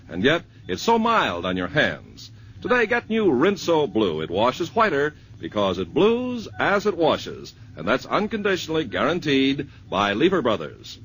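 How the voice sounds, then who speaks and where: A man's voice: wildly swooping pitch, measured pace, fairly bright tone, a little hiss or hum, low-quality recording. A man delivering a persuasive 1950s radio commercial as the announcer. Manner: smooth, persuasive pitch.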